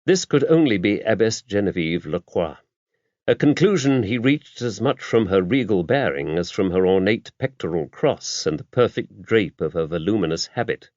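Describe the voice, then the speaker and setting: A man reading mystery fiction as an audiobook narrator, in a measured, expressive storytelling style. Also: on the low side, no room sound. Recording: slightly dull, dry audiobook recording.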